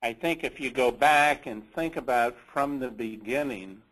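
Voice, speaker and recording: A man with a mid-pitched voice leads an investor call, going through slides of business figures. He speaks slowly and haltingly, pausing inside his sentences as he presents. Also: a bit bright, husky, low-quality recording. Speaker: company executive leading an investor conference call.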